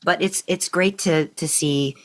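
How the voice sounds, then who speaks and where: A woman podcast host in casual conversation, telling rambling, animated stories. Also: low-pitched, measured pace. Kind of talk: casual chat.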